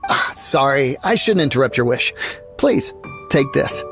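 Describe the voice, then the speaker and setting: A woman's voice: rumbling low, sing-song, a little slow, heavily acted. A woman playing a friendly, courteous local festival-goer in a video game, with a polite, chatty manner. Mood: chatty, helpful. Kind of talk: video game character acting. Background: game music.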